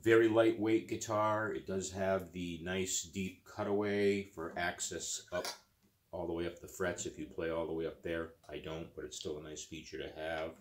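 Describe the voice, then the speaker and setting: A man's voice: low-pitched, little pitch movement, normal speed. A man in his fifties, seated on a living-room couch, talks casually about his guitar while demonstrating it, rambling with fillers and restarts. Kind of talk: casual demo talk. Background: acoustic guitar playing.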